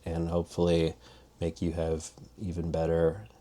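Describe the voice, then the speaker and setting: Low-voiced man casually teaching languages. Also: completely flat delivery, normal speed, warm tone. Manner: loose, restarting, demonstrative. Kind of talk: casual spoken lesson.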